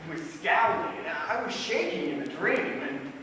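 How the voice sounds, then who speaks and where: An actor on stage playing a wry, rambling drug-culture housemate who tells tall stories haltingly, with dramatic swells. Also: male voice, fairly high pitch, animated, close to an everyday voice. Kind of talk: live stage play acting. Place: stage of a small theatre. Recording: echoey theatre hall, distant mic, noisy.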